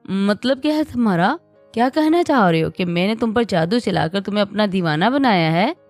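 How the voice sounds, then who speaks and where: A woman voicing a touchy, quick-tempered young wife who sulks and snaps. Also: mid-range voice, expressive, dry recording, faint music behind. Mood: huffy. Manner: indignant, sulky.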